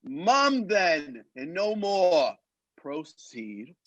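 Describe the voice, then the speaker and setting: Guy acting a blustering drunk lord, high voice that swings all over, bossy old-timey radio play, very dry sound. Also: big pitch swings, very slow, no echo, dull audio, full character voice. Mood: commanding. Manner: pompous, commanding, archaic speech.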